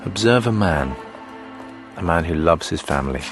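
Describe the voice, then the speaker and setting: An actor playing a grave, fatalistic storyteller, narrating a drama in a measured, ominous manner. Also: male voice, sits low, expressive. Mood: grave, foreboding. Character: grave, fatalistic male storyteller looking back. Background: music.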